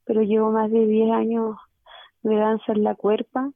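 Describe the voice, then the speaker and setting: A woman's voice: on the high side, completely flat delivery, normal speed. A woman teacher and dancer answering radio interview questions over the phone, hesitant with many filler sounds. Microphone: telephone. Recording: tinny phone line.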